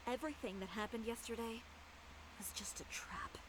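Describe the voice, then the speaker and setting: Self-reliant female designer, voiced by a woman, dry, composed, slightly high, ambient game noise. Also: moderate pace, lightly acted. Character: proud, cool-headed female tailor, self-reliant. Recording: game audio with background ambience.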